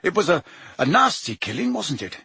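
A man playing an irritable prime minister who barks his lines with indignation. The voice is bright and husky, with big swings in pitch. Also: sing-song, moderate pace, dry recording, full character voice. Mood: exasperated. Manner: indignant and sharp. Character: exasperated, short-tempered male prime minister.

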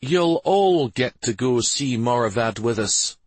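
A man performs a young warrior of rank in a fantasy audiobook. He is dutiful but weary, earnest with a sour streak. The voice is a little husky and expressive, recorded close and dry. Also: medium pitch, takes it slowly, no echo, voice pushed up. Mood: decisive. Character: brooding young male war master, weary and dutiful.

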